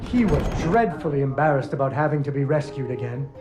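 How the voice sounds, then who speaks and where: A woman's voice: extremely low pitch, expressive, brisk. A little girl telling a puppet story. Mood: storybook telling. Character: young girl narrating a puppet-show story. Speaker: in-game narrator. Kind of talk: storybook narration. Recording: game audio with music behind.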